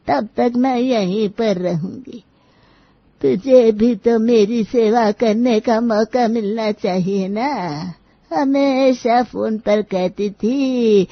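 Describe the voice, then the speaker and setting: A woman's voice: fairly high pitch, no echo. Lady doing a bossy old granny in law, cartoon story, drags her words, scolds everyone, kinda muffled dry sound. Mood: stubborn. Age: older. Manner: imperious, drawling, scolding. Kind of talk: Hindi cartoon moral story acting.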